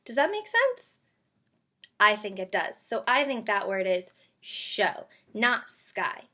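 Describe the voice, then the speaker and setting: A woman's voice: medium pitch, big pitch swings, sharp tone. A woman speaking as an elementary school teacher to young children, guiding them through a read-aloud phonics lesson with questions, repetition and carefully sounded-out words. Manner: stretches out and sounds out words slowly.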